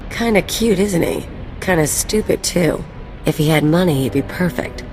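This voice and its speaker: An actress playing a sly, sardonic mercenary companion who teases and mocks. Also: female voice, fairly low pitch, lively intonation, moderate pace. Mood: teasing. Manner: teasing, sardonic. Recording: game cutscene with loud score.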